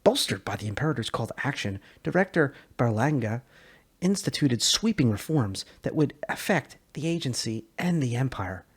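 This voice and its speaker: Husky man reading dramatically, lively pitch swings. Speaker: narrator, lore video creator. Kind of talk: dramatic reading of science fiction lore.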